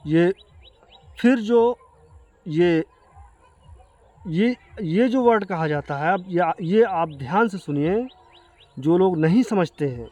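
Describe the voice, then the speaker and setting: A man with an Indian accent giving an earnest explanation to viewers, speaking haltingly and repeating himself. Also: sits high, expressive, darkish tone. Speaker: video creator addressing viewers. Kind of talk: explanatory talk to camera.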